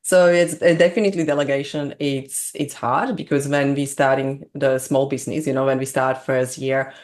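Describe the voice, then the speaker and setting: A woman from Slovakia with a Slovak accent, speaking English as a second language as a guest on an interview, bubbly and quick to laugh. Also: very deep voice, steady tone, darkish tone. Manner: bubbly, laughs often, non-native grammar. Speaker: business coach, guest. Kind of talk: casual interview chat.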